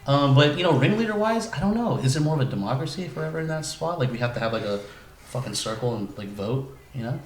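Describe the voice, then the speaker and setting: A man's voice: mid-range voice, lively intonation, quick pace. A man hosting a casual podcast chat with friends, rambling through asides and swearing freely. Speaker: podcast host. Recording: echoey room with light background noise.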